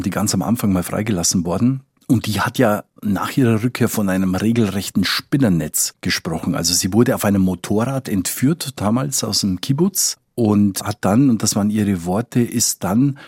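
A man's voice: on the low side, normal speed, hardly any pauses, a little mellow, slightly rough, dry recording, clean studio sound. A man talking as a correspondent, explaining conversationally, thinking aloud and repeating words for emphasis. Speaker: foreign correspondent, interview guest.

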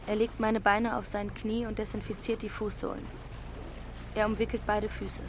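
A woman's voice: mid-range voice, flat delivery, normal speed, natural voice. An actress playing a cheeky, dreamy teenage runaway girl in a radio play, pert and unabashed. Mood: reflective narration. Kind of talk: radio play acting and first-person narration. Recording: loud engine and water noise behind.

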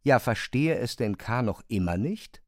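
A man's voice: medium pitch, expressive, measured pace, no echo, clean studio sound. A man reads scripted literary narration for a radio drama.

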